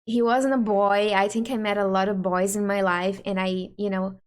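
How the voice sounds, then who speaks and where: A woman's voice: mid-range voice, fairly even intonation, moderate pace. Woman chatting with husband. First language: Portuguese. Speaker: channel host. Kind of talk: casual couple Q&A chat.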